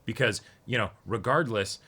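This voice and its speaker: A man's voice: on the low side, normal speed, sharp tone, slightly rough. A man co-hosting a reaction show, talking casually and analytically and often checking in with a "right?". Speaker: co-host of an anime reaction show. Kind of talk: casual discussion.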